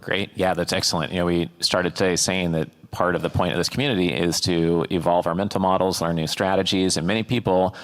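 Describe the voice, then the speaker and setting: A man hosting a conference fireside chat, upbeat and quick with praise, his speech full of fillers. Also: low voice, little pitch movement. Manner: upbeat, filler-heavy wrap-up talk. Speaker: host. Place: conference stage.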